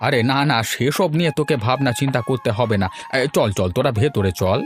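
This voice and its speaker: A man's voice: medium pitch, lively intonation, brisk, lightly acted. A man voicing a fussy, chiding village father in a melodramatic cartoon, speaking in exclamations. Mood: welcoming, fussy. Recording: music behind the voice.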